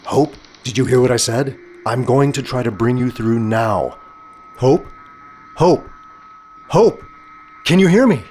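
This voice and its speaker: A man's voice: medium pitch, expressive, measured pace, pauses often, a little mellow, slight background noise, lightly acted. A man playing an earnest, protective romantic hero in a retro science fiction audio thriller, with intense, tender delivery. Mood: urgent. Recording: music behind the voice.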